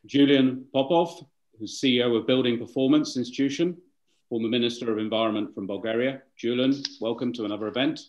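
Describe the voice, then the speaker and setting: A man's voice: mid-range voice, darkish tone, highs cut off. Formal man moderating a video call. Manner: formal, halting, with restarts and fillers. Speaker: webinar moderator. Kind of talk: formal welcome and introductions.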